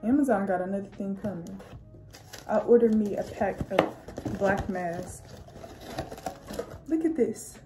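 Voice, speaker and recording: Young woman, Southern US accent, chatty relaxed vlog talk, phone camera at arm's length, small bedroom, faint music behind. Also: medium pitch. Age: young adult. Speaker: vlogger, college student. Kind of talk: casual vlog chat to camera.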